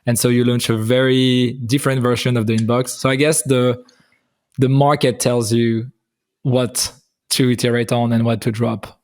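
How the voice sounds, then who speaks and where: A man interviews a guest in a casual conversational style. His voice sits at a medium pitch and moves very little, staying flat as he asks and comments. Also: normal speed. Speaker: interviewer, host.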